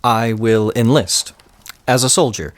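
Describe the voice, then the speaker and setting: A man's voice: medium pitch, a little slow, lightly acted. A man playing an earnest, reserved young tutor in an audio play. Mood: earnest, solemn.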